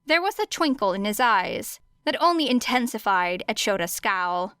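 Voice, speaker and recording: A woman narrating fiction as an audiobook, with expressive storytelling. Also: medium pitch, normal speed, clean tone, no room sound.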